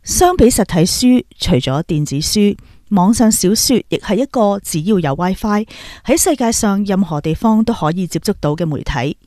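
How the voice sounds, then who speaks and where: A woman's voice: medium pitch, expressive, moderate pace, dark tone, no echo, studio quality. A woman podcast host with a Hong Kong Cantonese accent, giving scripted spoken commentary.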